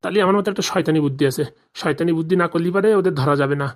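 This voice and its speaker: A man's voice: on the high side, rapid-fire, soft background music, natural voice. A man voicing an indignant, scheming middle-aged villager in a comedy cartoon, grumbling and swearing in rustic colloquial speech. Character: indignant, scheming forty-year-old village man, jilted husband.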